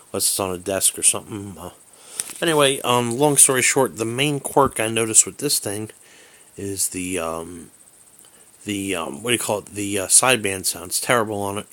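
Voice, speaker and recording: A man's voice: lowish voice, fairly bright tone. A man giving a casual, unscripted gadget review in a rambling, hesitant way, often searching for words. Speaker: amateur radio hobbyist reviewing gear. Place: small bedroom indoors.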